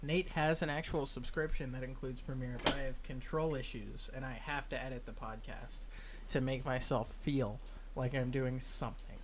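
A man's voice: mid-range voice, fairly even intonation, normal speed, very noisy. A young man hosting a casual group podcast, joking and laughing often as he talks. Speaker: podcast host.

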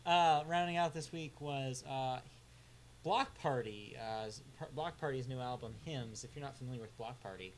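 A man's voice: mid-range voice, normal speed. A man chats casually through the week's album reviews, his talk full of filler sounds and restarts. His verdicts land blunt and dry. A faint hiss sits under the recording. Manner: laid-back, hesitant, many filler sounds. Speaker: music review co-host.

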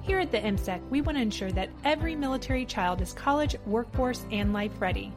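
A woman with a slightly high voice hosts a podcast. She reads a scripted introduction, with music clearly playing behind her. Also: lively intonation, a little fast. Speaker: podcast host.

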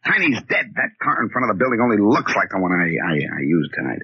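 An actor in a 1940s radio thriller playing a hard-boiled, jittery killer crook with a tense, slangy way of talking. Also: male voice, on the low side, sing-song, fast talker, breathy edge. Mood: defiant. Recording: dull vintage radio recording.

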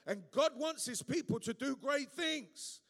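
A man's voice: very high voice, a little slow, creaky, fairly bright tone. A man preaching a sermon as a pastor, emphatic and colloquial, using rhetorical questions, recorded in a reverberant hall.

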